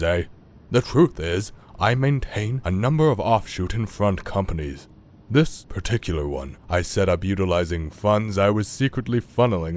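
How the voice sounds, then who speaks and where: A man's voice: low voice, a little mellow. A man playing a smug, scheming scientist businessman villain, speaking in a suave, wordy, theatrical and mocking way. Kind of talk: audio drama villain acting.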